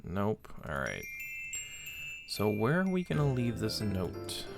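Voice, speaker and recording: A man's voice: on the low side, wildly swooping pitch, takes it slowly, creaky. A man giving casual, chatty commentary while playing a game, thinking aloud and poking fun at himself. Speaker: let's play host. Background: loud game music.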